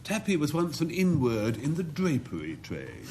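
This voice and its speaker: A man's voice: on the high side, huge pitch swings, moderate pace, a little mellow, slight background noise. A man captaining a team on a panel word game, drily joking and playful. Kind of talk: comic bluffing banter.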